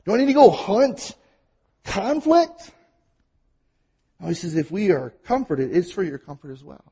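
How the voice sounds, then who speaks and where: A man with a Mississippi accent preaching a sermon, telling stories and at times talking fast. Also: high voice, big pitch swings, mellow, breathy edge. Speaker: pastor.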